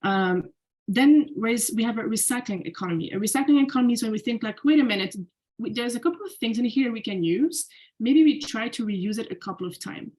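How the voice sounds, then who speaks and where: A woman's voice: a little high, fairly quick, darkish tone, low-quality recording. A young woman from Belgium giving a slide presentation as a podcast guest, talking casually with lots of "like" fillers and restarts. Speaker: guest speaker.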